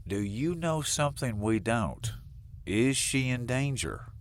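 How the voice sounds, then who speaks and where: An actor playing a plain-spoken, hot-headed but fair sheriff in an audio drama, blunt and informal. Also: male voice, medium pitch, lively intonation, takes it slowly, a little hiss or hum. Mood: probing.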